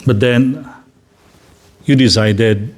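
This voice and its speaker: A man with a Filipino English accent, a priest leading a slow, gentle guided reflection for a gathering. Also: mid-range voice, slight background noise. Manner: slow, deliberate, gently inviting.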